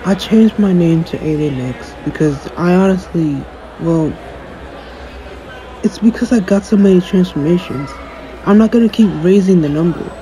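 A man's voice: high voice, warm tone, slight background noise, low-quality recording. A man playing a cocky, jaded young superhero in an audio roleplay, talking in a dry, sarcastic, aloof way. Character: jaded, cocky young male superhero, dismissive of his fan. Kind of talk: audio roleplay acting, one-sided conversation.

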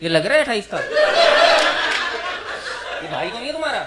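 A man in his thirties doing stand-up, teasing audience members in quick back-and-forth banter on a club stage with a handheld mic. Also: extremely high pitch, big pitch swings, a loud crowd, very noisy. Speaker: stand-up comedian. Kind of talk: crowd-work roast.

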